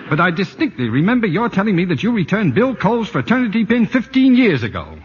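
A man's voice: high-pitched, huge pitch swings, brisk, a little hiss or hum. An American man playing a jealous, sheepish suburban husband in 1940s radio comedy-drama, with a stammering, flustered delivery. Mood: touchy, jealous. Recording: dull vintage 1940s radio recording.

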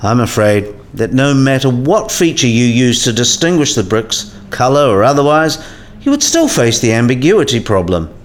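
A man playing an eccentric academic professor in a radio play, speaking in formal, measured phrases and helpful but brisk. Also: mid-range voice, very animated, lightly acted.

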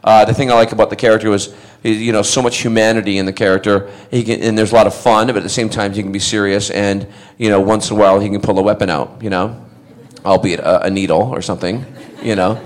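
Middle-aged actor at a fan panel, lively anecdotes, keeps laughing and doing voices. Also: male voice, on the low side, quick pace. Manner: animated, laughing, acts out other voices. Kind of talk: anecdotal storytelling to an audience.

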